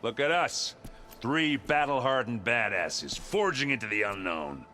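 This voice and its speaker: An actor voicing a brash, wry battle-hardened warrior companion in a video game, bantering colloquially, with music behind him. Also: male voice, mid-range voice, sing-song, a little slow, pushed and bright. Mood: wry, upbeat.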